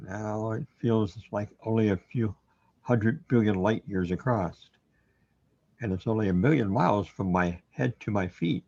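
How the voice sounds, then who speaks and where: An actor playing a troubled, bewildered man in a surreal sci-fi radio drama, hesitant and halting as he muses aloud. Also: fairly low pitch, creaky, darkish tone, husky, highs cut off, full character voice. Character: troubled, bewildered man overwhelmed by cosmic reality.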